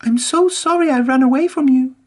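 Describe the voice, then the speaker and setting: A reader voicing Emily, an anxious young female steam engine, in a high, breathless, fretful storybook voice. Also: brisk, a little mellow. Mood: remorseful. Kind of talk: storybook character voice.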